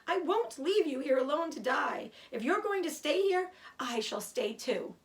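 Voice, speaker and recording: A woman's voice: high voice, a little slow, pitched above the performer's own voice. A woman voicing an earnest, emotional young male pig in a children's storybook, pleading and devoted. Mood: desperate. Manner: pleading, excitable, swooping upward. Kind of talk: children's storybook read-aloud.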